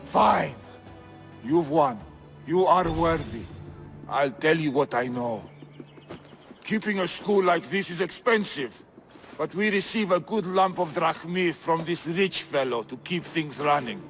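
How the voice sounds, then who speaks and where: An actor playing a proud but worried gymnasium master in a video game cutscene. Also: male voice, a little high, lively intonation, unhurried, gravelly, faint music behind. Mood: defeated, indignant.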